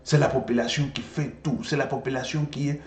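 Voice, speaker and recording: A man from Congo, a Swahili native speaker with a Congolese French accent, giving an impassioned political monologue as an animated storyteller who repeats himself and switches languages. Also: mid-range voice, a little fast. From Democratic Republic of the Congo. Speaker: political commentator. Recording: echoey room.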